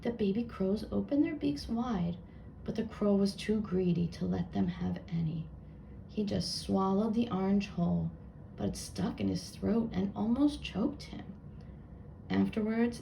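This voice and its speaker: A woman reading a children's book aloud with expressive narration. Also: mid-range voice, gravelly, slight background noise, poor audio.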